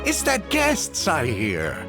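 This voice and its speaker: Gentle, lilting old village chief. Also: male voice, a little high, huge pitch swings, over music, heavily acted. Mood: welcoming. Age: older. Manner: slow, courteous, wistful. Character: kindly, humble old male village chief with failing eyesight. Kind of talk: video game voice acting.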